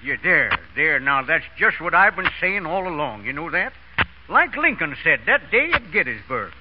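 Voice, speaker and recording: An actor playing a folksy, blustering, loyal American Westerner in a radio comedy, with a Western accent and a habit of repeating words. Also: male voice, on the high side, big pitch swings, normal speed. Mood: folksy, flustered. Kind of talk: 1930s-40s radio comedy acting. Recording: muffled, hissy old radio recording.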